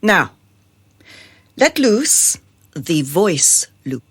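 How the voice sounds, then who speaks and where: A woman's voice: on the low side, very animated, drawn-out words, husky, exaggerated voice. A woman playing a haughty, callous society madam in a satirical radio drama, theatrical and imperious in manner.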